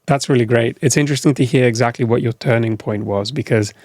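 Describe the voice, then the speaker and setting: A man's voice: mid-range voice, quick pace, a little mellow, dry recording, studio quality. A man from Greece hosting a podcast, asking questions in a conversational, encouraging way. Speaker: podcast host. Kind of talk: casual interview chat.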